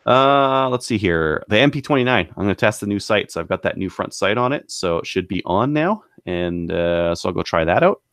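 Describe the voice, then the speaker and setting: A man with a deep voice talks casually as a podcast co-host, recorded close and dry. He speaks haltingly, stopping to gather his thoughts as he goes. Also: animated, fairly bright tone, no echo. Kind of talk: casual hobby podcast chat.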